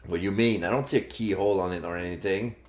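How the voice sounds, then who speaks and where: A man's voice: deep voice, fast talker. A man streaming a visual novel, reading the narration and dialogue aloud as he plays. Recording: roomy echo, game music behind.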